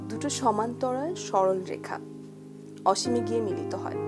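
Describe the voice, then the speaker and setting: An actress playing a sentimental married woman, telling her love story in a girlish, conversational Bangladeshi voice in a TV drama. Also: fairly high pitch. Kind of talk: TV drama dialogue, intimate storytelling. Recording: music bed under the voice.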